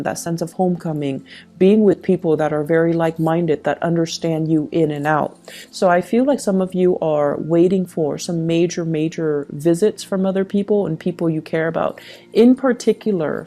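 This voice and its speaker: A woman with a low, relaxed voice reads tarot for her audience. She explains things in a reassuring way, checking in as she goes. Faint music plays behind her. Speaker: tarot reader. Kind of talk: tarot reading addressed to viewers.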